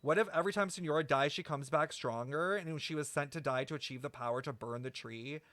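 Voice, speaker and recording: A man streaming casual game commentary, talking to chat in an animated, slangy, sweary way. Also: a little high, brisk, no echo. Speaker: streamer reacting to a video game. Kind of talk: casual livestream commentary and lore speculation.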